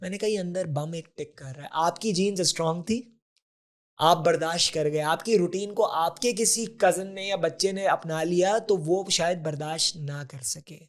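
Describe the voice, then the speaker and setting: A man's voice: high voice, normal speed. This is a man, a guest on a conversational podcast. He talks in an earnest, explanatory way and often drops English terms into his sentences. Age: 40s. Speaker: podcast guest, health advocate. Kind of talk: conversational interview.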